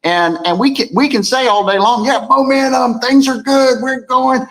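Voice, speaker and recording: Male co-host, deep voice, rambling conversational delivery, reads comments aloud. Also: steady tone, normal speed, fairly bright tone, poor audio. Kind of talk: casual morning show chat.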